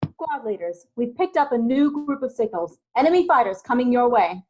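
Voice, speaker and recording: An urgent female rebel officer, acted by a woman in a sci-fi table read. Her voice is fairly high. The sound is a little dull and lo-fi, as she briefs pilots in battle. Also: lively intonation, moderate pace, a little mellow. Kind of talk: virtual table read of a sci-fi film script.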